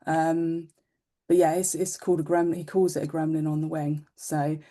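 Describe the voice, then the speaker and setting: A woman's voice: low voice, level tone, moderate pace, warm tone. A woman co-hosting a podcast, chatting informally in loosely phrased, unscripted sentences. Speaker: podcast co-host. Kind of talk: casual unscripted podcast chat.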